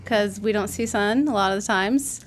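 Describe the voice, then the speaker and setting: A woman's voice: mid-range voice, normal speed, a little hiss or hum. A woman hosting a casual interview, chatty and friendly, often laughing as she asks questions. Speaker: host.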